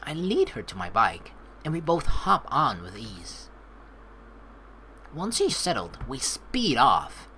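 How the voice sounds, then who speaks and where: Low-voiced, animated woman gamer. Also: slow, a little hiss or hum. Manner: animated, informal, chatty asides. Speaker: let's play host. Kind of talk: gameplay commentary, reading game text aloud.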